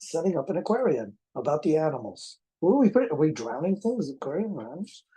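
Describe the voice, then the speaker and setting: An excitable person presenting film finds in a casual unboxing chat, with joking asides and exclamations. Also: mid-range voice, a little fast, breathy edge.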